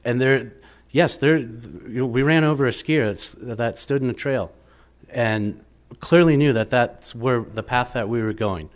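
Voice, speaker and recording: A middle-aged business owner answering questions at a public meeting, defensive and quick to argue with figures. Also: male voice, medium pitch. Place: town council meeting room, indoors. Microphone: desk microphone.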